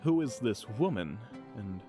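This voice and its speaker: A man's voice: on the low side, big pitch swings, a little slow. A man playing an earnest, courteous, slightly dim mage in a fantasy audio drama, speaking formally. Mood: polite, reserved. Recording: music under the voice.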